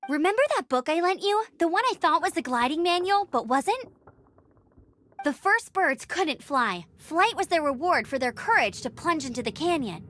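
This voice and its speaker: Casting: spirited, defiant young outrider knight in an anime game; very high voice, animated delivery. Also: female voice, normal speed. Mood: determined. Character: plucky, determined young knight outrider girl, gliding champion. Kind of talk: anime-style video game voice acting.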